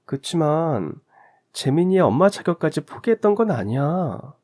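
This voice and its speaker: A man's voice: on the high side, dark tone, voice pushed up, natural voice. A man voicing a scornful, scheming birth mother who taunts and mocks in a storybook reading. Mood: defensive. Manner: mocking, smug, taunting.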